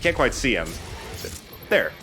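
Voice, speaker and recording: A man's voice: medium pitch, big pitch swings, a little slow, pushed and bright, soft background music. A man giving casual, enthusiastic gameplay commentary as a let's play host, with some casual swearing.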